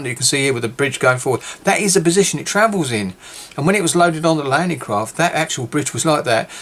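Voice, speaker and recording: British man, model kit walkthrough, rambling, self-correcting, slightly worn voice, mid pitch. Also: fairly bright tone. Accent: British English. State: losing his voice. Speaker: scale model builder. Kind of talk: casual kit review video.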